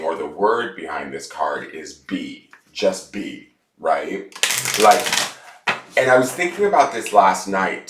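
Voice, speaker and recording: A man with a stuffed-up voice, chatting casually as a tarot reader and rambling excitedly about the cards. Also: low voice. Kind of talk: casual chat to camera. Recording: echoey room from far off, loud noise.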